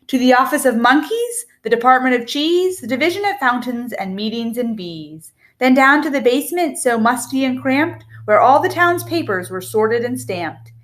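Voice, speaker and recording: A woman reads a children's picture book aloud expressively, with a measured, rhythmic delivery of rhyming verse. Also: high-pitched, lively intonation.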